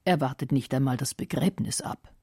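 A woman narrating a radio feature in a breathy, deliberate way. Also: rumbling low, darkish tone, dry recording, clean studio sound. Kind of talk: scripted narration.

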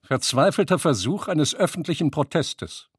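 A man's voice: on the high side, animated, normal speed, loud music behind the voice. A man announcing scripted headings and record-like notes. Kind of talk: scripted reading of titles and minutes.

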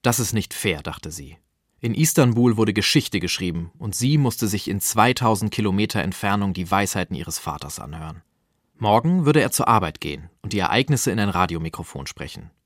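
A man's voice: lowish voice, animated, fairly quick, no echo, clean studio sound. A man reading excerpts from a novel as scripted literary narration.

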